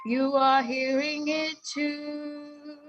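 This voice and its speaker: A woman singing a gentle love song in a clear, steady voice. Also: high voice, very slow, lo-fi sound, faint music behind. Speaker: guest singer and performer.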